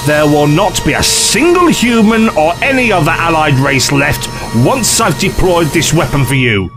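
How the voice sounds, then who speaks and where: A man plays a cocky, scheming renegade in an audio drama. His voice sits fairly high and swings widely, flippant and persuasive, with music underneath. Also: very animated, moderate pace. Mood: sly, persuasive.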